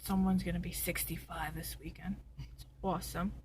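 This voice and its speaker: A hoarse woman chatting casually to camera in a rambling, self-mocking way. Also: on the low side, level tone, normal speed, darkish tone, slight background noise. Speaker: vlogger. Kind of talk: casual vlog chat. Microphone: camera on a stand.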